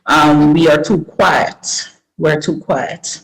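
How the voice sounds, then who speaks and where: A woman's voice: low-pitched, fairly even intonation, slow, poor audio. Woman host of an interview show, encouraging, affirming tone, talks to listeners. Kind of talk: interview conversation.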